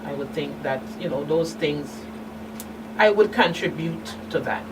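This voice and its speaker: A middle-aged woman giving candid personal testimony as an interview guest, speaking haltingly with emphatic turns. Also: low-pitched. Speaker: guest, survivor and advocate. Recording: distant mic, noisy room hum.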